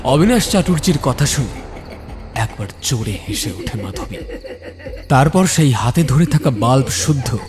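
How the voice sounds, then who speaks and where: A man's voice: mid-range voice, sing-song, normal speed, over music. A man narrating a scripted ghost story dramatically, building suspense phrase by phrase.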